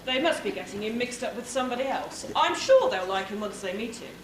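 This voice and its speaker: Echoey, high-voiced English pantomime king played by a woman. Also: lively intonation, fairly quick, a loud crowd, close to an everyday voice. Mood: mildly exasperated. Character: genial, exasperated pantomime king, henpecked and polite. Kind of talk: amateur stage pantomime acting. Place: theatre stage. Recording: echoey noisy theatre, distant microphone.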